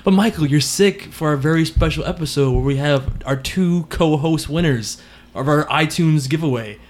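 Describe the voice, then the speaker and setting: A man's voice: on the high side, normal speed. A man co-hosting a casual podcast, playful and laughing often, recording at a friend's home. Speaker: podcast co-host. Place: at a friend's home, not usual studio.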